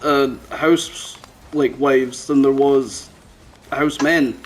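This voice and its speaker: A man's voice: mid-range voice, steady tone, extremely slow, low-quality recording. A young man co-hosting a podcast in casual, blunt, sweary banter. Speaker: podcast co-host.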